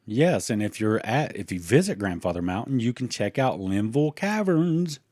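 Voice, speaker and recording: A man's voice: lowish voice, very animated, moderate pace, a little mellow, dry recording, clean studio sound. A man co-hosting a podcast, chatting casually and adding joking asides. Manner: joking asides, playful tangents. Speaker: podcast co-host. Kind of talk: casual chat.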